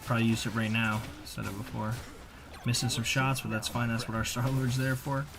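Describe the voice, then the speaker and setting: A man's voice: mid-range voice, flat delivery, brisk, vocal fry, over music. A man giving casual, slangy, conversational voice-over commentary on video gameplay, as a gaming video creator.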